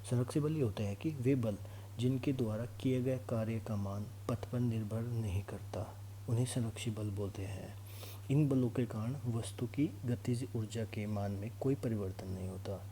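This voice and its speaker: Casting: male teacher, dark relaxed tone on the low side, informal explaining style, noisy humming recording. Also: moderate pace. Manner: instructional, repeatedly checks understanding. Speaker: physics teacher for exam students. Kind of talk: informal classroom lesson, explaining to students. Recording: clear background noise with hum.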